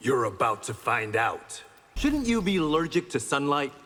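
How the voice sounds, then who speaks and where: A man's voice: mid-range voice, big pitch swings, normal speed, bright, forceful tone. An actor playing a resolute, wry blind swordsman in a fighting game, speaking with dry confidence and determination. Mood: defiant. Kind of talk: fighting game character banter. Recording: long reverb, faint background noise.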